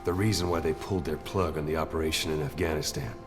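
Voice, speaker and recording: An actor playing a coolly analytical male military interrogator, measured and matter-of-fact, in a dramatic video game. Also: rumbling low, fairly even intonation. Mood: grave, explanatory. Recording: long echoing reverb under music. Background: music.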